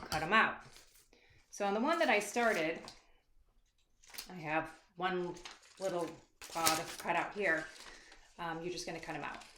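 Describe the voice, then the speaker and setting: A woman leads a casual craft demonstration for children in an unscripted, encouraging way. She takes her time between steps. The room adds an audible echo, with faint noise behind her. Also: on the low side. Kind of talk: step-by-step craft tutorial for children.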